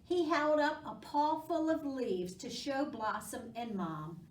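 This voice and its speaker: A woman's voice: sits high, slow. A woman hosting library storytime, reading aloud and chatting expressively and coaxingly to young children. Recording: echoey room, distant microphone.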